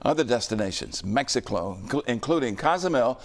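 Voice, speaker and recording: Relaxed older male news anchor. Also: mid-range voice, fairly quick. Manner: relaxed, bantering with the reporter. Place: TV news studio.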